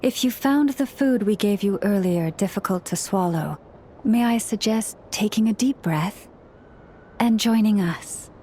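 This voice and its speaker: Woman playing a slow-spoken, dignified moon priestess. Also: medium pitch, dark tone, slight background noise, lightly acted. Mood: gracious. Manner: formal, gracious, measured. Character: calm, dignified young priestess of a moon goddess. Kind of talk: game voice acting.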